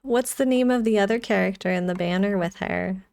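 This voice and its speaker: A woman casually chatting on a game livestream, playful and excitable, often repeating her phrases. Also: mid-range voice, a little fast, creaky. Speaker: game streamer. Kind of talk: casual livestream chat while playing a gacha game.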